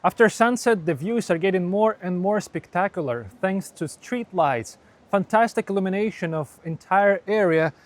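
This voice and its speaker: A man's voice: high voice, expressive, normal speed. A man giving unscripted travel commentary as a vlogger, explaining what is around him and often rephrasing himself. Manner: explanatory, repeating and rephrasing phrases. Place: mostly outdoors at a tourist site.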